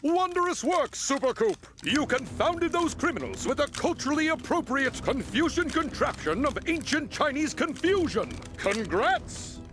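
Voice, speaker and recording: A man playing a pompous, excitable superhero mentor in a comedy cartoon game, grandiose and declamatory. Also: very high-pitched, a little slow, hardly any pauses, noisy background, full character voice. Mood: congratulatory. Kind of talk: animated comedy game acting.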